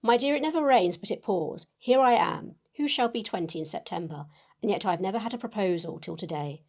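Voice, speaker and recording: Woman playing a gushing young Victorian lady, creaky voice. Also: medium pitch, animated, a little fast, darkish tone. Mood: excited, gushing. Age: young adult. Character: excited young Victorian lady, gushing and sentimental letter-writer. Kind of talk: dramatic reading of a letter.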